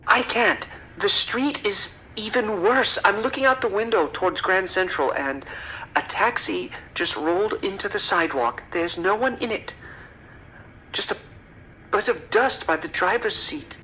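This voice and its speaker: A woman plays a horrified maître d' phoning for help in an audio drama. The voice is bright and mid-pitched, formal yet halting and distraught, over faint noise. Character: horrified restaurant maître d' calling emergency services. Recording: noisy, dull radio-like call audio.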